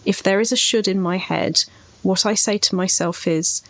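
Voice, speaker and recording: A woman's voice: medium pitch, moderate pace. A woman speaking as a writing coach on a podcast, giving advice conversationally.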